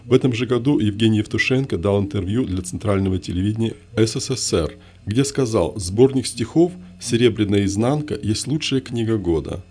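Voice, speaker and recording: A man narrating his own memoir in the first person, with a measured, list-like delivery built on short, repeated refrains. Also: lowish voice, a little mellow.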